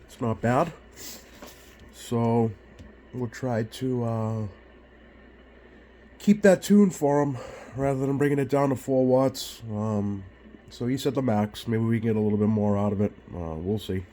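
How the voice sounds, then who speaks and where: Unhurried, mellow man talking through repairs. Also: mid-range voice, a little hiss or hum. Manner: hesitant, thinking aloud. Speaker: radio repair technician hosting a bench video. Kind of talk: casual off-the-cuff repair narration.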